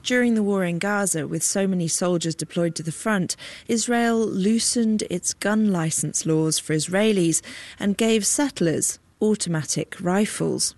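A woman's voice: medium pitch, unhurried, a little mellow, no room sound. A woman radio reporter delivering a scripted field report.

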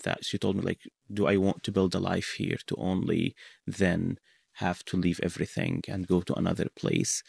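A young man from Syria answering questions in a radio interview, talking in a halting way with many fillers. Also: deep voice, creaky, slightly rough, dry recording. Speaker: interview guest, author.

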